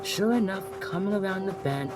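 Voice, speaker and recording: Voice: mid-range voice, expressive, normal speed. A narrator reading a scripted children's railway story. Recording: music under the voice.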